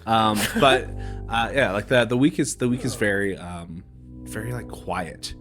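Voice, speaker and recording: A man running a tabletop game, narrating casually and haltingly in a conversational way. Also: on the low side. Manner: halting, conversational, peppered with "like" and "kinda". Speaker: game master. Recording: instrumental music bed behind the voice.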